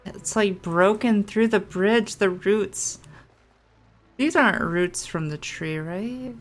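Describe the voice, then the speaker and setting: Casting: young female game streamer, mid-range pitch, unhurried and informal, rambling her thoughts aloud over faint music. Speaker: gaming streamer. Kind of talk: casual gameplay commentary.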